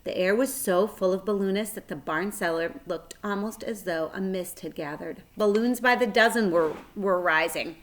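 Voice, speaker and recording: A woman narrating a children's story aloud in a measured, expressive way. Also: medium pitch.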